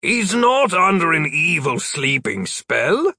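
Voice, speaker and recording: A man's voice: a little high, very animated, slow, pushed and bright, no room sound, clean studio sound, pitched above the performer's own voice, exaggerated voice. A man voicing a flustered adult male wizard who tries to sound firm while explaining himself. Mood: defensive. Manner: earnest, trying to sound firm.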